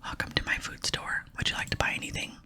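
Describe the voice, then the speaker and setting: A woman's voice: fast talker, sharp tone. A woman voicing a welcoming male shop owner from a video game, read out in an ASMR whisper. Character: male food shop owner, welcoming, easily impressed employer.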